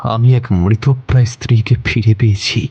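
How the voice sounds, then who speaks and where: Husky, dark-voiced devout husband, acted by a man. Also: mid-range voice, steady tone, normal speed. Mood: solemn, grateful. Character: devout, awestruck village husband, grateful to goddess. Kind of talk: audio story dramatization.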